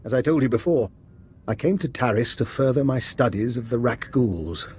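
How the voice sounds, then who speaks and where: Calm sinister doctor, slightly husky, measured, formal, understated, game character. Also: male voice, medium pitch. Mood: measured, persuasive. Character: eerily calm, politely sinister male doctor and covert scientist. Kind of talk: video game voice acting.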